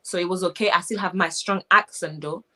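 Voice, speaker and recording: A woman's voice: lowish voice, steady tone, a little fast, bright, forceful tone. A young woman from Haiti with a strong Haitian accent, a guest speaking reflectively in a casual interview over a video call. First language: Haitian Creole.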